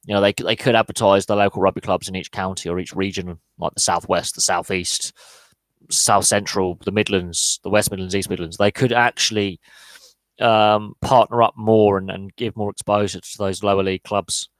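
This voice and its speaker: A man's voice: on the low side, steady tone, moderate pace, no room sound, rough recording. A man hosting a live podcast Q&A, talking through opinions with lots of fillers and restarts. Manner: opinionated, answers chat live, many fillers and repeated words. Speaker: podcast host.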